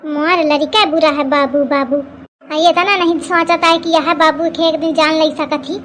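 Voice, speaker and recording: A woman plays a scheming cartoon village mother-in-law with an Awadhi accent. Her voice is extremely high, and she nags and gossips like someone sure of her own cleverness. There is faint noise behind her. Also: fairly even intonation, unhurried, a bit bright. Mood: exasperated, grumbling. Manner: scolding, gossipy, boastful. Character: plump middle-aged scheming, suspicious village mother-in-law. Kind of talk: Awadhi comedy cartoon dialogue.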